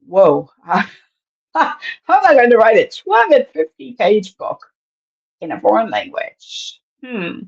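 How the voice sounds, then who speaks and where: A woman from Germany with a German accent, speaking as an animated podcast guest who laughs often and lets her pitch swing widely. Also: dull audio. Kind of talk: casual interview talk.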